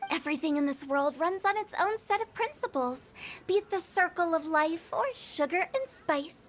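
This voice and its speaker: Very high-voiced, mischievous teenage girl. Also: moderate pace, fairly bright tone. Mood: playful, smug. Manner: playful, teasing. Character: mischievous, quirky, self-assured teenage girl. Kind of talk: video game character dialogue.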